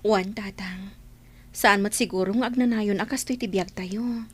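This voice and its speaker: A woman's voice: mid-range voice, fairly even intonation, moderate pace, breathy edge, no echo, natural voice. A woman playing an earnest, devoted young mother in a radio-style drama, speaking sincerely with an Ilocano accent. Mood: wistful.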